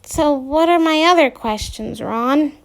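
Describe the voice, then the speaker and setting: A woman's voice: high voice, unhurried, gravelly, pitched above the performer's own voice, low-quality recording. A woman voicing a wry teenage girl who teases dryly and gets flustered when caught out. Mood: teasing.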